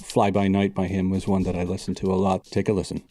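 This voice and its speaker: A composer being interviewed, calmly recalling the music that influenced him. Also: male voice, low voice, fast talker. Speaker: composer guest on a podcast. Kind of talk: interview clips, played back repeatedly.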